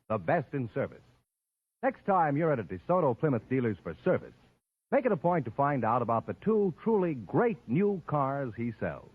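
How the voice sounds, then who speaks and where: A man's voice: on the high side, slightly rough, no echo. A man reading a scripted 1950s radio car commercial with a polished salesman's delivery. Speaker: commercial announcer. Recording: old radio, dry, tinny narrow band.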